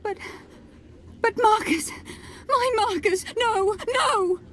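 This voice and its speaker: A woman's voice: very high voice, slow, a bit bright, a little hiss or hum, heavily acted. A woman voicing a distraught, devoted noble wife in a video game, wailing melodramatically. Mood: anguished.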